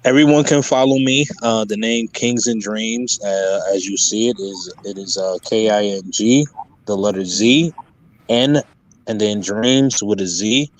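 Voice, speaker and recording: Male guest, low voice, halting and filler-heavy, casual call-in explanation. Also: poor audio. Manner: hesitant, many fillers and restarts. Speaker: guest content creator. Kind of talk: casual interview talk.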